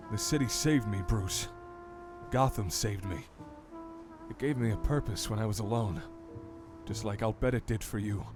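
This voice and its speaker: 남자가 연기하는 낮은 목소리의 진지한 정치인. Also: male voice, lowish voice, over music, close to an everyday voice. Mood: fervent, grave. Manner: sincere, measured, politician's polish. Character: earnest, persuasive male mayoral candidate. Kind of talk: audio drama acting.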